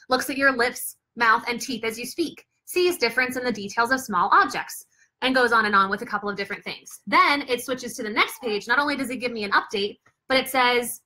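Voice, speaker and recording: A woman's voice: fairly high pitch, sharp tone. A young woman chatting casually to camera as she shows off a baby play gym, upbeat and chatty with lots of fillers, recorded on a living room floor by a camera a few feet away. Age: young adult. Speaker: product reviewer and mother. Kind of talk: casual product demo to camera.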